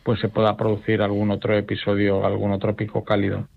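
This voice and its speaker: Halting Spanish man on a phone line. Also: lowish voice, completely flat delivery, creaky. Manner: halting, hesitant. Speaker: weather expert interviewee. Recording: narrow tinny phone line.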